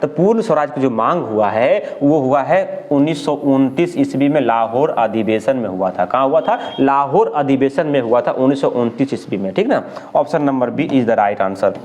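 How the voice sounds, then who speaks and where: A man with a Bihari accent teaching an exam lesson, reading out questions and answers didactically and repeating himself with quick check questions. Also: medium pitch, lively intonation, darkish tone. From India. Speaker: history teacher.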